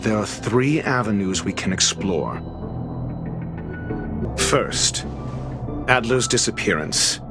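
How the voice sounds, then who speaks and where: Arrogant male game scholar, unhurried delivery, erudite and critical, precise wording, background music. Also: lowish voice. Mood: measured, grim. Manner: pompous, measured, articulate, erudite. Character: haughty, intellectual male scholar, coolly critical and exacting. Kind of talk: scripted video game voice acting.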